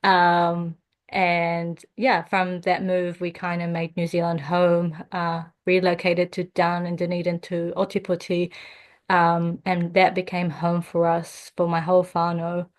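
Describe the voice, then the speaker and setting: Young woman from jordan chatting on a podcast, lowish voice, pretty even and level, lots of ums. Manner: thoughtful, frequent um fillers, measured. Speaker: guest. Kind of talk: reflective podcast conversation.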